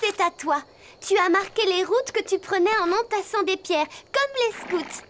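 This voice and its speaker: A woman playing an eager, caring teenage girl horse rider in a French animated series. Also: extremely high pitch, moderate pace, slight background noise. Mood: concerned. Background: music.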